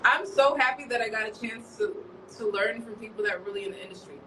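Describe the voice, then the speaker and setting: Bright-voiced chatty woman from Chicago. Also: on the high side, fast talker, distant mic, slight background noise, poor audio. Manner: chatty, laughs often, rambling. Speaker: journalist guest. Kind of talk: casual interview chat. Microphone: livestream from a distance.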